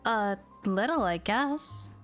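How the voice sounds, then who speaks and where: A woman's voice: mid-range voice, expressive, takes it slowly, fairly bright tone. A woman game streamer giving casual live commentary, playfully reacting aloud to what happens. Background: game music.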